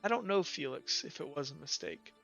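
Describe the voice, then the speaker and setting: A man voicing a sullen woman guard captain in a tabletop game, gentle with youngsters and halting in speech. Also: fairly high pitch, very animated, creaky, dry recording, highs cut off, faint music behind, exaggerated voice. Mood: downcast, hesitant. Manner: hesitant, halting.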